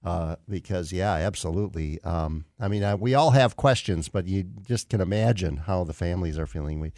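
A man hosting a live call-in radio show, talking in a halting way with lots of fillers. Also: low-pitched, warm tone, dry recording, studio quality. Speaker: radio talk show host. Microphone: studio microphone.

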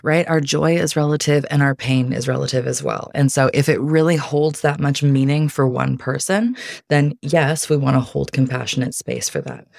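Gravelly-voiced woman giving earnest advice. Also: low-pitched, dry recording, studio quality. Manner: warm, earnest advice-giving with frequent laughs. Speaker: podcast guest, speaker. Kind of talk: casual interview chat.

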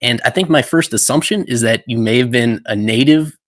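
A man hosting a podcast, asking long interview questions in a halting way with frequent ums. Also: medium pitch, dry recording, clean studio sound. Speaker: podcast host.

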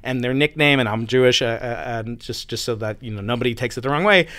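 Animated physicist man, dry sound. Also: mid-range voice, quick pace, smooth, no room sound. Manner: animated, storytelling, pushes back. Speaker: physicist guest. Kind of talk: conversational interview. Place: university building.

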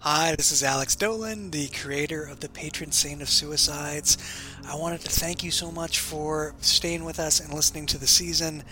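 A man's voice: on the high side, steady tone, moderate pace, bright, forceful tone, faint music behind, low-quality recording. A man who created a podcast, giving a scripted announcement to listeners.

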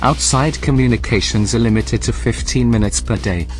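Male artificial intelligence, audio drama, male actor: medium pitch, formal, measured, unyielding, faint music behind. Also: natural voice. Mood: polite, impassive.

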